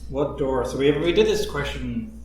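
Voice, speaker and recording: A man's voice: mid-range voice, animated, moderate pace, a little mellow. Man, casual Q&A host, mid-range voice, distant mic, strong room echo. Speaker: podcast writer and Q&A host. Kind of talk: casual Q&A chat. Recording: echoey room, distant mic, faint noise.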